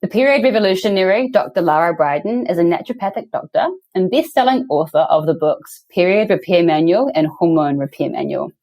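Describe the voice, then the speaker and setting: A woman's voice: lowish voice, lively intonation, brisk, few pauses, darkish tone. A woman hosting a podcast, welcoming her guest and asking questions with an effusive, enthusiastic manner. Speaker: podcast host.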